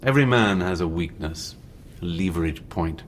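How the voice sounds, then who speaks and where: A manipulative English army major in a wartime radio drama. His voice is deep and swings expressively while staying measured and understated. A faint noise sits behind him. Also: lively intonation. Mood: coolly persuasive. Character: shrewd British army major, smooth and manipulative.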